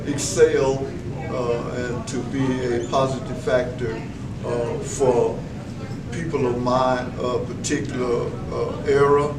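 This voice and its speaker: Slow, flat-voiced older man. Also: mid-range voice. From Texas. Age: 70s. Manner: measured, many filler pauses, formal phrasing. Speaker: veteran school educator, interviewee. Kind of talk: reflective interview answers. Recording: echoey room, mic far off, clear background noise.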